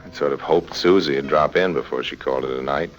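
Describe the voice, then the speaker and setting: A world-weary American rogue in an old radio crime drama. His voice is low and smooth-talking, with wry humour, on a vintage radio recording. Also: fast talker, lo-fi sound, music in the background. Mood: wry storytelling. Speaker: lead actor and first-person narrator. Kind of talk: 1950s radio drama acting and narration.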